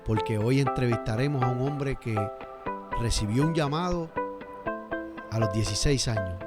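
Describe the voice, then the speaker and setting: A man's voice: mid-range voice, normal speed, over loud music. A man with a Puerto Rican Spanish accent interviewing casually on a podcast, often repeating words as he forms his sentences. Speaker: guest interviewer.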